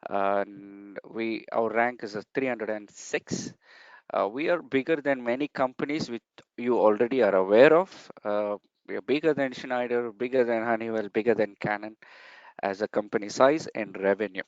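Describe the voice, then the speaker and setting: A man's voice: medium pitch, no room sound. Man teaching over a dull, dry call. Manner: explanatory, uses many fillers and checks like okay. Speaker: sales trainer. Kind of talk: online training webinar. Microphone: video call.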